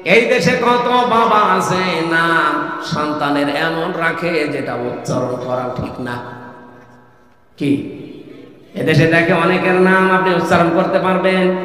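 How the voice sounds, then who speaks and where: High-pitched Bangladeshi preacher in heavy echo. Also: male voice, fairly even intonation, slow, pushed and bright, faint music behind. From Kushtia, Bangladesh. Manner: emphatic, prompting listeners to respond, rhetorical questions. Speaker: Islamic preacher. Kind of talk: waz sermon to a congregation. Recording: echoey hall with long reverb.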